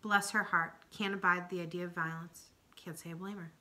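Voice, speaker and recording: A woman reading aloud, voicing a weary, protective old grandfather in a low, tired way. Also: fairly even intonation, fairly quick, bright, forceful tone.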